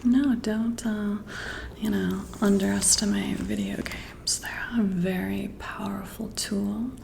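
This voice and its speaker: A woman speaking in a soft, breathy whisper in an intimate ASMR roleplay, addressing the listener in a flattering way as a friendly boss. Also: medium pitch, slow, a little hiss or hum. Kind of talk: soft-spoken ASMR roleplay, one-sided intimate chat.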